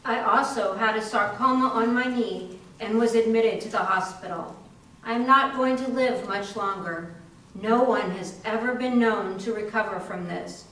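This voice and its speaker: A woman's voice: on the high side, measured pace, fairly bright tone. A woman playing a young dying woman, resigned yet brave, in a readers theater performance. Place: indoor library stage reading. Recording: distant microphone in echoing room.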